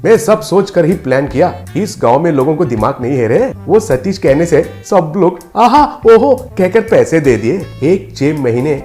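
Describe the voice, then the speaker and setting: A man's voice: on the high side, sing-song, moderate pace, hardly any pauses, dark tone, soft background music. A man playing a bossy, boastful village husband who swindles people, with a smug, domineering manner, in a comic story. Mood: irritated, smug.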